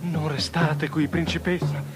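A slow male voice with music behind it, an actor as an anxious servant in a radio play. He pleads over and over, drawing out his words theatrically. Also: a little high, little pitch movement, lightly acted. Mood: anxious pleading. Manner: drawn-out, repetitive pleading. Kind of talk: theatrical radio drama acting.

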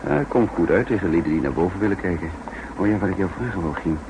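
A man playing a thoughtful double agent in measured, reflective speech for an old radio play. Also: deep voice, animated, background noise. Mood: casual, assured. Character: thoughtful, troubled male double agent narrating his story. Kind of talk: 1960s Dutch radio play acting and narration.

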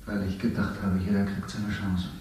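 An actor playing a worn-down stage actor under interrogation in a 1970s radio drama, defiant and bitter. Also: male voice, deep voice, little pitch movement, normal speed, a little mellow, distant mic, natural voice. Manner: defiant, then bitter and weary. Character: worn-down male actor who once played a minister. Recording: echoey room, voice off-mic.

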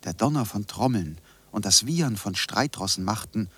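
A man playing a learned composer and sound researcher, reading a measured scripted essay for radio. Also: fairly low pitch, lively intonation, a bit bright, slightly rough, no echo. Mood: measured, scholarly. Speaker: lecturing expert.

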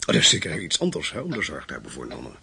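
An actor playing a cold, calculating hitman who can turn smoothly polite, in a Dutch radio play. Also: rumbling low, wildly swooping pitch, rapid-fire, bright, forceful tone, exaggerated voice. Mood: feigned polite humility. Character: cold, calculating male hitman posing as pious convert. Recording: radio play with faint background noise.

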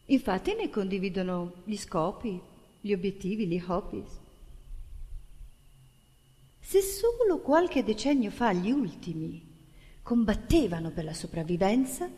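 Actress for satirical stage monologue, declamatory and sardonic, widely varied pitch, long hall reverb. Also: female voice, warm tone.

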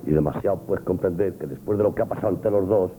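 An actor playing a stern, proud shopkeeper, blunt and scolding, in a Spanish radio play. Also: male voice, deep voice, fast talker, close to an everyday voice. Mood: cold, reproachful. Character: stern, wounded, proud shopkeeper, father of a daughter. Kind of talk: Spanish radio theatre acting, 1970s. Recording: muffled, noisy old radio broadcast.

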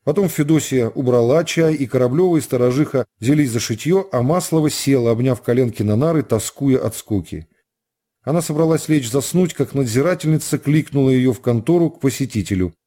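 A man narrating a literary work for radio, in a measured and expressive storytelling manner. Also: mid-range voice.

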